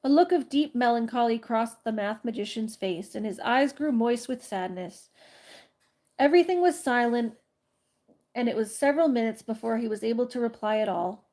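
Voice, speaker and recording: A woman's voice: fairly high pitch, expressive, normal speed, darkish tone. A woman with a congested cold hosting a bedtime story reading, with giggly, chatty asides. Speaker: storytime host reading aloud.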